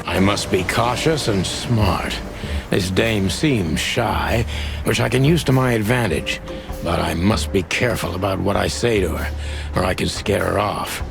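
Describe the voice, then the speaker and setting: A deep, gravel-throated rooster gumshoe, hardboiled and world-weary. He questions in a measured, wry film-noir game style, with music playing clearly behind him. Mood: calculating.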